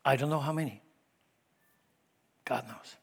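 A middle-aged man with a Swiss German accent, preaching a sermon in church, emphatic and shifting from loud to hushed. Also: mid-range voice, a little slow, creaky, slightly rough. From Switzerland. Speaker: pastor.

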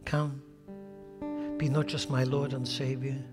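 A man's voice: medium pitch, level tone, measured pace. Swiss-accented man preaching in a hall. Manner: emphatic, rising and falling between loud exhortation and hushed prayer. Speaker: pastor. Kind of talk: sermon and closing prayer. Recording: long hall reverb with faint music.

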